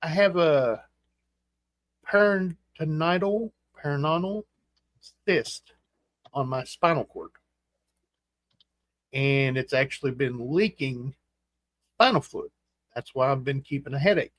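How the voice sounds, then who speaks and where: A man in his forties hosting a casual livestream chat, rambling and halting with fillers and restarts. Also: mid-range voice, no room sound. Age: 40s. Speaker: host.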